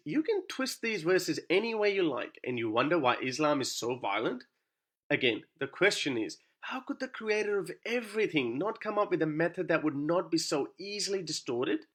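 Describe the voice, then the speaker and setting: Urdu-native man, sardonic scripted commentary, raised pitch, animated delivery. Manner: sarcastic, rhetorical questioning. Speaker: YouTube commentator. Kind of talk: scripted argumentative monologue.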